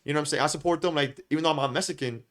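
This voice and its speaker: Young male podcast host, quick rambling casual talker, slightly high voice. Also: fairly bright tone. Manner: fast, rambling, repeats himself, lots of filler. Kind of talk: casual chat.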